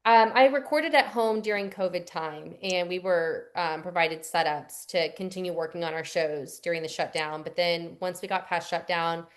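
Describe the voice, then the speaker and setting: A woman's voice: on the low side, normal speed, hardly any pauses. A young adult woman, a voice actress answering interview questions in a chatty, rambling way with fillers and restarts. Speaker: voice actress guest.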